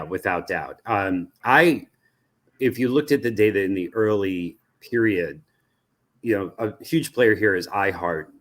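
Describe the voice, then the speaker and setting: Male expert guest, American accent, deep voice, measured and halting explanatory delivery. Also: slight background noise. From United States. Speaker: interview guest, research company president. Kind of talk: explanatory interview answers.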